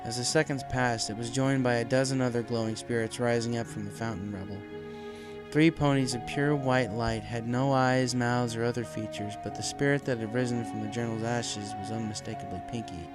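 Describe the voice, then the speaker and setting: A man's voice: mid-range voice, fairly even intonation, fairly quick, music in the background. A man reading a fan fiction story aloud as narrator, in a scripted, dramatic storytelling style.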